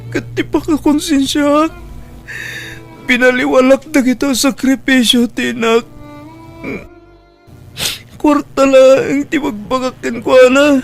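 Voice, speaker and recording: A man as high, plaintive young Filipino son. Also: fairly even intonation, slow, creaky, long pauses, faint music behind, exaggerated voice. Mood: grieving, remorseful. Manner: plaintive, melodramatic. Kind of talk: Ilocano radio drama acting.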